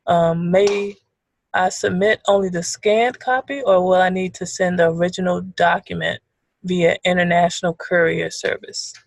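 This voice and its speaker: A woman moderating a webinar over a video call, reading out questions in a friendly, relaxed way with frequent fillers. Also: on the low side, normal speed, creaky, no room sound.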